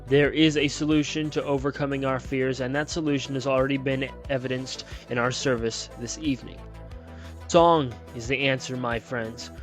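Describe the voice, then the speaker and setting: A man's voice: medium pitch, steady tone, normal speed, faint music behind, lightly acted. A man voicing a smiling male television preacher who sermonizes in a sing-songy cadence. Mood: fervent. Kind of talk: storybook reading.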